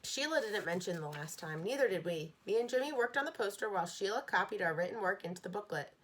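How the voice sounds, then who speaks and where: A woman reading a children's book aloud, steady and expressive. Her voice is bright and clear, with a middle pitch. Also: fast. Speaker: reader.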